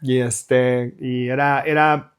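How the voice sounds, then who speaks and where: A man's voice: mid-range voice, flat delivery, slow pace, clear, resonant tone. A middle-aged man from Mexico City with a Mexican accent, a guest musician chatting casually in an interview and rambling through restarts and fillers. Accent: Mexican Spanish.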